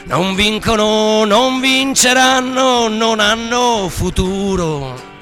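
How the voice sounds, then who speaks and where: Male singer-performer, high register, very slow declaimed protest ballad with musical backing. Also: pushed and bright, faint music behind. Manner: declamatory, drawn-out rhythmic phrasing. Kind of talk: protest ballad, sung and chanted in radio theatre.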